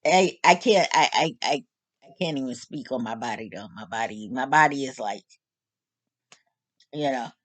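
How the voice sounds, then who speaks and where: A woman in her late fifties talking openly to her audience in a casual, animated, joking monologue. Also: very deep voice, moderate pace, long pauses, no echo. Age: 50s. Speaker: vlog host.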